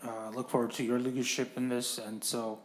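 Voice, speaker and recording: A man's voice: medium pitch, level tone, a little fast. A man chairing a committee, calling on speakers in formal procedural language.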